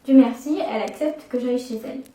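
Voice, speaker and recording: A young woman narrates a first-person horror tale in a mellow, slightly high voice. She slows down to build suspense. The recording sounds roomy, with her a little away from the mic. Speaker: storyteller. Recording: echoey room, distant from the mic.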